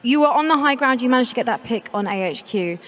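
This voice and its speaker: A woman's voice: on the high side, animated, fast, fairly bright tone, a little hiss or hum. A woman working as an esports on-site interviewer, bubbly and teasing with the players. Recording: echoey arena hall.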